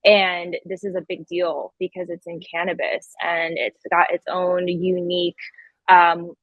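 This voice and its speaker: Low-voiced woman, casual podcast guest, slightly dull sound. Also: little pitch movement, measured pace, dry recording. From Connecticut, US East Coast. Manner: informal, conversational. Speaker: podcast guest, event planner. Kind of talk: casual interview chat.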